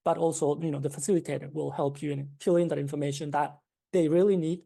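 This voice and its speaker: A Japanese-accented woman in her thirties explains her ideas as an interview guest, pausing often on fillers and restarting her sentences. Her voice sits low and sounds soft and mellow. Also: fairly even intonation, vocal fry. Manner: hesitant, many fillers and restarts. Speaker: startup founder, guest.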